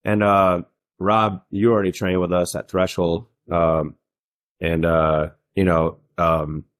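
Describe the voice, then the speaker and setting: Man, podcast host, low voice, dry recording, rambling casual chat, many fillers. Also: flat delivery, slow, no echo.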